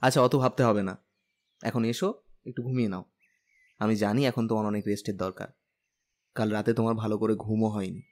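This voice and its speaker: A man's voice: on the low side, fairly even intonation, fairly quick, long pauses, a little mellow, lightly acted. A young man playing a caring, playful husband who coaxes and teases in a romantic audio story. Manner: teasing, coaxing.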